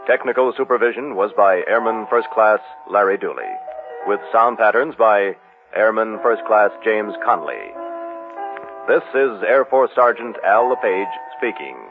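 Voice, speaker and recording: A man's voice: fairly low pitch, unhurried. A man announcing closing credits in a scripted radio style on an old broadcast recording. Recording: old military radio broadcast, muffled, music. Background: music.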